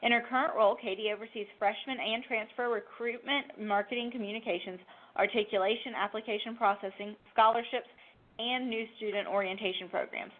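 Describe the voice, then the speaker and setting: A woman's voice: fairly high pitch, fairly even intonation, fairly quick. A woman hosting a webinar, formally reading out a scripted introduction of a presenter. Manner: formal, reading from prepared text. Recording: phone-like narrow band.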